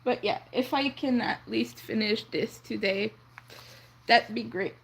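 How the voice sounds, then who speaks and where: A young woman whose first language is French, chatting softly and rambling on a crochet livestream. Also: a little high, measured pace, creaky, breathy edge, low-quality recording. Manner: soft-spoken, rambling, counts under her breath. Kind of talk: casual chat while crocheting.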